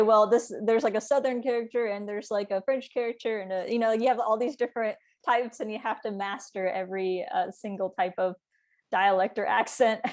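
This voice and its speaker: A woman with a medium-pitched voice hosts a casual interview. She is chatty and a little rambling, slipping quoted asides into her explanations. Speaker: interviewer, book editor.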